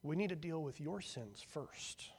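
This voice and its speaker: A man voicing a patient firstborn son who comes to bring his brothers home. He speaks softly and earnestly, a touch higher than average, in a storybook telling. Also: expressive, normal speed. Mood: gentle earnest. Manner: gentle, earnest. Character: calm, patient eldest son.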